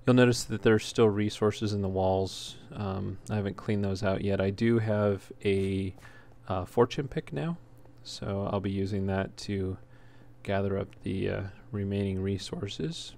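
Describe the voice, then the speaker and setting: A man's voice: lowish voice, steady tone, unhurried, darkish tone. A man hosting a gaming video with casual, unscripted walkthrough commentary, speaking a little hesitantly. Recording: noisy home recording, clear background noise.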